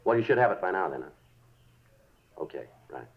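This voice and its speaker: An actor playing a practical, businesslike police detective in a 1950s radio drama, speaking in short, plain phrases. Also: male voice, deep voice, big pitch swings. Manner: brief, brisk acknowledgements, plain and workmanlike. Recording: muffled 1950s radio recording.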